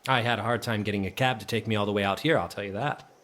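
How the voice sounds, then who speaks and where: An actor playing a troubled, bookish, melancholy man in an audio drama, speaking and narrating in a halting, introspective way. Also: lowish voice, fairly even intonation, a bit bright, natural voice. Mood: polite, weary. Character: troubled, bookish, melancholy man revisiting childhood holiday guesthouse.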